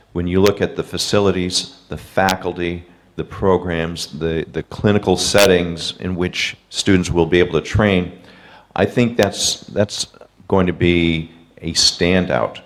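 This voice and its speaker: A man, a professor, answering questions in a webinar over a video call in a measured, explanatory way. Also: low voice.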